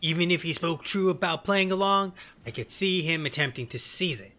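A man's voice: a little high, expressive, moderate pace, bright, forceful tone. A man voicing a wry, casual bearded engineer in a fantasy game. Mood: musing. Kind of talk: fantasy game dialogue reading. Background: game music.

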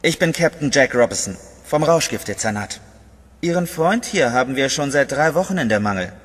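A stern, mocking police captain. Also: male voice, medium pitch, animated, moderate pace, a bit bright, breathy edge, big-room sound. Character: stern older male narcotics captain, mocking.

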